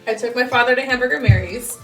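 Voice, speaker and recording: A woman's voice: fairly high pitch, fast. Casting: young woman, podcast co-host, casual slangy storyteller, roomy echoing sound. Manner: slangy, laughs easily, banters. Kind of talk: casual chat, animated storytelling. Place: small home garage studio. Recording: roomy echo, faint music.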